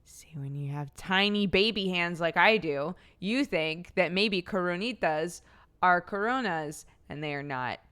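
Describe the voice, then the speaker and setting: A woman's voice: lowish voice, unhurried, fairly bright tone, clear, resonant tone. A woman streamer with a slightly phlegmy throat, chatting casually, laughing often and thanking subscribers one by one. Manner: giggly, laughs often between lines.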